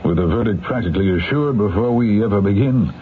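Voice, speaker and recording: A man's voice: lowish voice, expressive, quick pace, lightly acted. A man playing a shrewd, candid defense attorney in a radio mystery drama, with a wry, conversational manner. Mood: explaining, knowing. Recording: tinny, noisy old radio recording.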